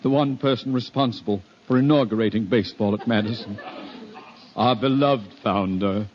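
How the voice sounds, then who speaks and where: A man's voice: fairly low pitch, normal speed, natural voice. A man playing a pompous, blustering middle-aged school principal in orotund 1950s radio comedy style. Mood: mournful, bombastic. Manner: pompous, orotund, exasperated delivery. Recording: muffled, noisy 1950 radio recording.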